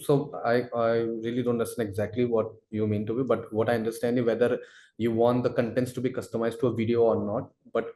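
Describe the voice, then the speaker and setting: A man answering audience questions as a product expert in a live webinar, speaking haltingly and repeating himself as he explains. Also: fairly low pitch, mellow. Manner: halting, repetitive, hedging delivery. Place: indoor room, webinar call. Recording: roomy, echoey sound.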